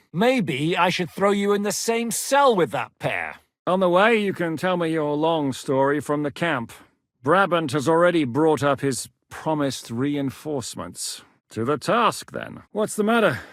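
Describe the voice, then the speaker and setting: An actor playing a gruff, blunt one-eyed male commander who swears freely and jokes dryly in a video game. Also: a little high, a bit bright, no room sound, clean studio sound. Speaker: military commander.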